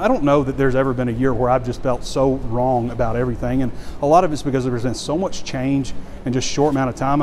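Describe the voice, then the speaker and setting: A man's voice: medium pitch, darkish tone. A man in his forties giving frustrated, blunt, rambling walk-and-talk sports commentary into a phone at arm's length. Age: 40s. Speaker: sports reporter and publisher. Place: outdoors, walking around a stadium at night. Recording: outdoor handheld recording with ambient noise.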